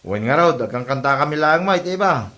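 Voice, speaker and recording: A man's voice: a little high, lively intonation, a little fast, bright, forceful tone. A man with an Ilocano accent playing an easygoing neighbour in a comedy audio drama. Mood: placating.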